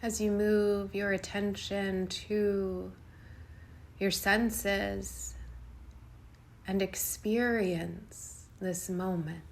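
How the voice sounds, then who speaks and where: A woman guiding a yoga nidra meditation in a soothing, unhurried way, speaking in short phrases with long pauses. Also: mid-range voice, steady tone, a little hiss or hum. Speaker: meditation guide.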